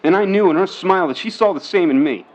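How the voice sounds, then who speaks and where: A man playing an eager, lovestruck young son in a 1950s New York audio drama, boyish and halting in his excitement. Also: high-pitched, muffled recording, lightly acted. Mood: dreamy, smitten. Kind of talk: vintage-set audio drama acting.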